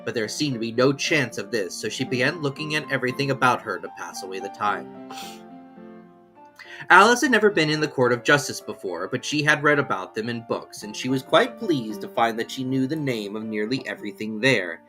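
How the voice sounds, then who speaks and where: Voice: medium pitch, expressive, fairly quick, sharp tone, soft background music. A storyteller reading scripted children's fiction as an audiobook narrator.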